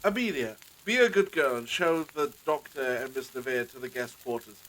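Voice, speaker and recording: A man's voice: medium pitch, moderate pace, a bit bright, slight background noise, close to an everyday voice, rough recording. A man playing a courteous, genteel master of the house in a period audio drama, formal and politely apologetic. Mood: gracious, formal.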